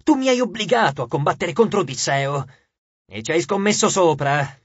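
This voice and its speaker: A man's voice: a little high, expressive, normal speed, breathy edge, dry recording, lo-fi sound. A man voicing a wary young demigod hiding in disguise, probing and hesitant. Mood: accusing.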